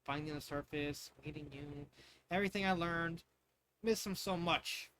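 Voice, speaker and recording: A man's voice: on the high side, moderate pace, sharp tone, slight background noise, poor audio. A man voicing an earnest young princess who adores her father, in a fan fiction storybook reading. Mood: sad, yearning.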